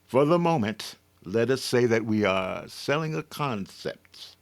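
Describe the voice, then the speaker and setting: A slow, deliberate actor's male voice for a mysterious client in an old-time radio play. He is formal and cryptic, with wide melodic swings and a courteous, ominous air. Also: mid-range voice, expressive, gravelly. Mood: cryptic, smooth. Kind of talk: 1950s radio drama acting.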